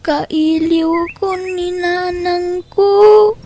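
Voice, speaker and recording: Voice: extremely high pitch, steady tone, drawn-out words, warm tone, slight background noise, heavily acted. A performer playing a weary, obedient young boy in an audio drama, with an Ilocano Filipino accent and a plaintive manner. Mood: weak, longing.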